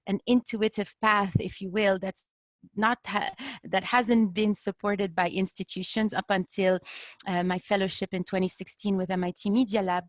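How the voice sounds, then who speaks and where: A woman's voice: mid-range voice, no echo. A woman from Lebanon giving unscripted remarks as a panel speaker on a video call, earnest and often searching for words. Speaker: panel speaker, design activist.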